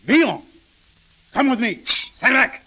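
An actor playing a haughty, menacing officer in a 1930s radio drama, pompous and barking, on a hissy old recording. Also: male voice, very high voice, sing-song, slow pace, sharp tone, breathy edge, exaggerated voice.

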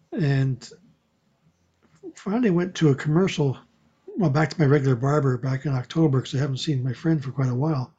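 An older man with a dark, husky voice in casual conversation. He meanders through stories and corrects himself. The audio is somewhat dull and lo-fi. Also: on the high side. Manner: meandering storytelling, self-correcting. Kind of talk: casual chat.

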